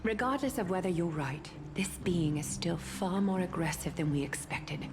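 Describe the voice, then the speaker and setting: Woman playing a low, regal leader. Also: moderate pace, noisy background, lightly acted. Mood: probing. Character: regal, composed, stern female leader of a holy city. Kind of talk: anime fantasy game voice acting.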